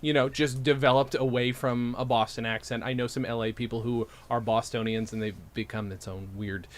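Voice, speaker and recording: A man's voice: mid-range voice, fairly quick, a little hiss or hum. A man from upstate New York hosting a podcast, chatting casually, going off on tangents and poking fun at himself. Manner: animated, tangent-prone, self-deprecating. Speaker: podcast host.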